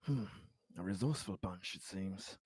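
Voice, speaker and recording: Man playing a halting, low-voiced, polite colony official. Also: a little mellow, slightly rough, dry recording, studio quality. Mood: grateful, earnest. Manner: stammering, halting, deferential. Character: hesitant, polite, earnest male colony official in sci-fi game. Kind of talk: improvised in-character tabletop roleplay.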